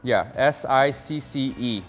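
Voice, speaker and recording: An American man of ordinary pitch talks through a build in an upbeat, coaching way, explaining each step as he goes. His voice trails into a long reverb, with soft music underneath. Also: slow. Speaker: aquarium hobbyist host. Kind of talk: casual instructional vlog.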